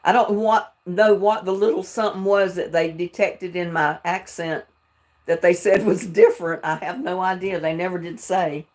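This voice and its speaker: A woman's voice: on the low side, fairly even intonation, normal speed, darkish tone. An older woman from North Carolina with a Southern drawl that carries some Texas, chatting casually with viewers on a livestream and chuckling often. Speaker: livestream host. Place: home in Texas.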